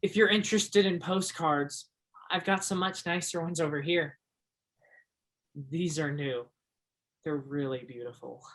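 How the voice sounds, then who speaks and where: Bright-voiced young souvenir seller. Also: male voice, on the high side, steady tone, measured pace, long pauses. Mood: friendly, casual. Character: easygoing, obliging young male roadhouse souvenir seller. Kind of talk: stage play acting.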